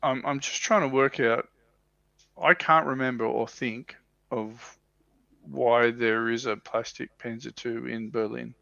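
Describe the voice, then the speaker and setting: A man's voice: medium pitch, steady tone, measured pace, creaky, bright, forceful tone, no echo. A man on a livestream panel in casual hobby chat, hesitant and thinking aloud. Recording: dry, dull lo-fi microphone sound.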